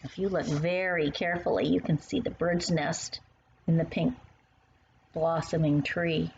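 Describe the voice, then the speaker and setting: A woman's voice: deep voice, fairly even intonation, measured pace, gravelly, a little mellow. A woman reading her own storybook aloud for young children, addressing the little listeners directly. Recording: dull lo-fi sound with faint noise.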